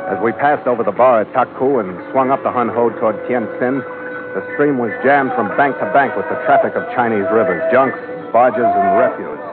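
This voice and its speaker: Male actor as weary sardonic American ship master, dry clipped delivery, old tinny radio drama, loud music. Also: medium pitch, normal speed, close to an everyday voice. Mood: weary, brooding. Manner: hard-boiled, dry, clipped. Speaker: narrator and lead actor. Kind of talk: 1940s radio adventure drama narration. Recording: muffled vintage radio broadcast, loud music.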